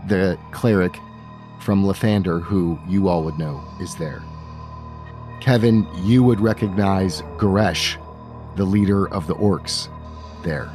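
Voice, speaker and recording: Low-voiced man narrating fantasy over music. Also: darkish tone. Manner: measured, deliberate scene-setting with dramatic pauses. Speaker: game master and host. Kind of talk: narrating a tabletop fantasy game.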